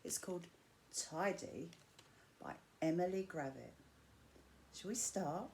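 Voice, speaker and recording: A woman reading a rhyming picture book aloud to children, with measured, expressive phrasing and drawn-out key words. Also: fairly low pitch. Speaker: storyteller reading to school pupils. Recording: clear background noise.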